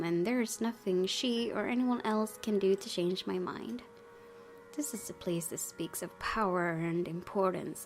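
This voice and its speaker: A woman's voice: mid-range voice, a little mellow. Female let's player, mid-range voice, story reading, casual commentary, game music behind. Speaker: gaming video host.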